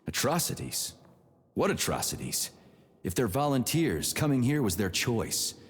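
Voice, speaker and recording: An actor voicing a gruff, foul-mouthed, cynical Soviet special agent in a video game, brusque and irritable, with a husky voice. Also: male voice, medium pitch, very animated, unhurried. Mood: irritated. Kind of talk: video game cutscene acting. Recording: long reverberant hall sound.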